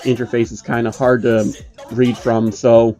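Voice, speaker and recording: A man's voice: mid-range voice, little pitch movement, measured pace, dark tone, faint music behind. A man narrating fan fiction in an amateur way, with casual spoken asides. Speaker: narrator reading fan fiction on his channel.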